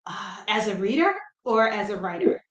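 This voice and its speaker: A middle-aged woman from New Jersey with a light Brooklyn accent, a comic writer chatting as a guest, self-deprecating and quick to laugh. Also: medium pitch, lively intonation. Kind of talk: casual interview chat. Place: room at home. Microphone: video call. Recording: roomy echo on a video call.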